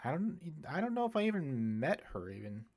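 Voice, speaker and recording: A man's voice: on the high side, big pitch swings, a little fast, darkish tone, voice pushed up. A man voicing a young female witchcraft student in an audiobook, blunt and curious, with a casual, questioning way of talking. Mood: uncertain, dismissive.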